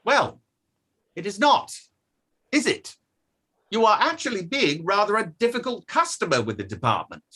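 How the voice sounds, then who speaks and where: A man's voice: high voice, sing-song, moderate pace, long pauses, pushed and bright, husky, full character voice. A man playing a precise, pressing inquiry barrister, cross-examining formally in a play table read. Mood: sceptical, insistent. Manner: probing, formal, hesitant over document references.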